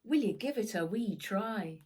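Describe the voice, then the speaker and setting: An old Scottish grandpa from a children's storybook, voiced by a woman reading aloud. He is kindly and twinkly, coaxing with affection. His delivery takes its time and rises and falls in a lively way. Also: mid-range voice, unhurried, voice pushed up, lightly acted.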